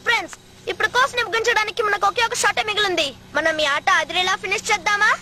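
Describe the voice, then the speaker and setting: A woman's voice: very high voice, rapid-fire. A woman playing a cocky, competitive young boy in a Telugu cartoon dub. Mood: eager. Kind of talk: Telugu-dubbed cartoon acting. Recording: loud music behind the dialogue.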